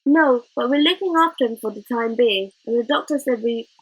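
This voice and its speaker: A woman voicing a kindly, protective foster mother in a storybook, with a gentle, apologetic manner. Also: on the high side, a little fast, slightly rough, poor audio.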